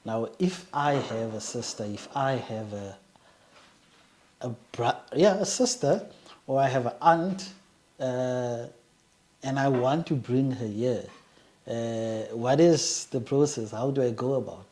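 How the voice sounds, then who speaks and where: A woman's voice: a bass voice, slow pace, mellow, low-quality recording. A woman hosting a podcast interview, asking questions with restarts and brief affirmations. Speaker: podcast host.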